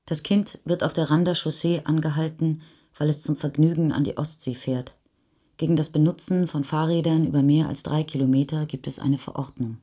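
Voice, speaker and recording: A woman's voice: low-pitched, steady tone, a little fast, dark tone. A woman reading literary narration as a narrator in a radio play. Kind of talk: literary third-person narration.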